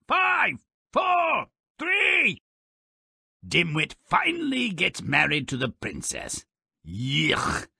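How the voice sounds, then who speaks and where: A man voicing a sardonic, mischievous, grotesque little fairy-tale creature who narrates a video game with raspy, theatrical, gleefully macabre sarcasm. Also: high-pitched, bright, forceful tone, dry recording, clean studio sound, exaggerated voice. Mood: excited shouting. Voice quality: raspy, gravelly. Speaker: character narrator.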